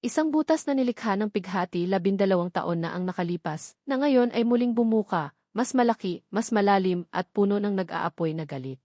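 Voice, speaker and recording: Woman, storyteller, scripted dramatic narration, steady delivery, mid pitch, dry recording. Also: a little fast, dry recording.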